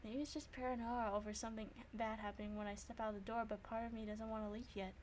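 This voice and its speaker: A young woman with a slightly high voice streams a game. She moves between reading narration and casual, animated commentary. Her manner is self-deprecating, with easy swearing. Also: fast, slight background noise.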